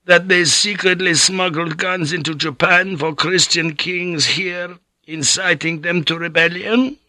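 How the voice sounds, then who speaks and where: A man's voice: sits high, steady tone, a little slow, pushed and bright, no room sound, pitched above the performer's own voice, heavily acted. A man voicing a feverish, bitter old friar who rants breathlessly. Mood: ranting. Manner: agitated, breathless, ranting.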